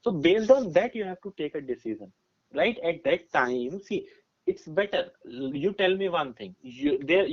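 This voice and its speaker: Male, twenties, Indian accent. Fairly high-pitched, emphatic podcast guest talking through advice with personal examples; dull recording. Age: 20s. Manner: emphatic, explanatory, often checking with "right?". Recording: dull, muffled lo-fi audio.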